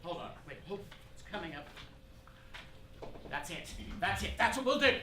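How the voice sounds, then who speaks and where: An actress playing a quick-thinking woman in charge of a radio show in a live stage comedy. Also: lowish voice, big pitch swings. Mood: scrambling. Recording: echoey stage, distant mic, noisy.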